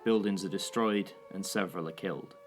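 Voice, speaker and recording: A man's voice: low voice, fairly quick. A man reading scripted narration for a wartime audio drama.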